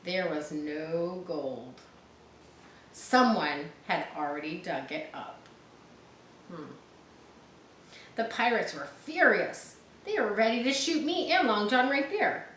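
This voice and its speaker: A woman's voice: fairly low pitch, lively intonation, a bit bright. A woman reading a story aloud to listeners, stopping to explain words and details in an explanatory way. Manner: explanatory, checking understanding, pausing to clarify words. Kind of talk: read-aloud storytelling with teaching asides. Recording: echoey room with faint background noise.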